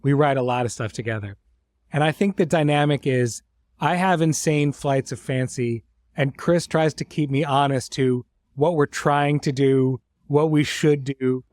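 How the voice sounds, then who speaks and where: A man's voice: medium pitch, steady tone, measured pace, a little mellow, no echo. A man talking reflectively as a series creator in a behind-the-scenes podcast, explaining things articulately with vivid imagery.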